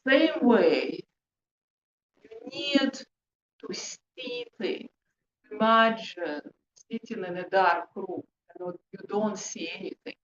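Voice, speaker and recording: Female workshop presenter, non-native English, very lively intonation, unhurried emphatic phrasing, muffled noisy recording. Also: mid-range voice. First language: not English. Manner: emphatic, questioning, short deliberate phrases. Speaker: presenter, hypnotherapist. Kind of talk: interactive talk to a group. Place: room with an audience, indoors. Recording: echoey, muffled room recording with background noise.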